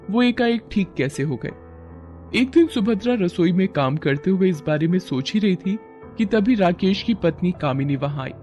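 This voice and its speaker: A man's voice: fairly high pitch, a little mellow. A man narrating a scripted moral story as a storyteller.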